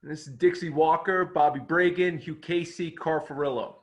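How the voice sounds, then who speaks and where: A man's voice: fairly high pitch, fairly even intonation, normal speed, lightly acted. A man playing a friendly, welcoming baseball coach in a dramatic script reading.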